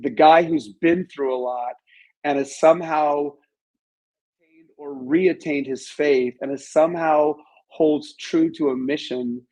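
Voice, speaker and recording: American man, slightly high voice, middle-aged, casual interview guest, emphatic. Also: darkish tone, poor audio.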